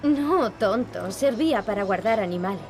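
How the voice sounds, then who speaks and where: An actress with an accent from Spain playing a determined, earnest young princess with dry wit for a video game. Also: female voice, medium pitch, expressive, normal speed, lightly acted. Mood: dry, teasing. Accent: Spanish from Spain. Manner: earnest, resolute. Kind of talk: dubbed adventure game dialogue. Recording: music behind the voice.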